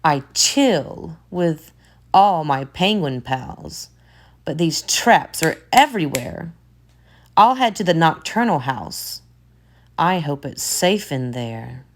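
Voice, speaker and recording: A woman teacher reading a children's picture book aloud, expressive, with soft whispered asides. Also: sits low, takes it slowly.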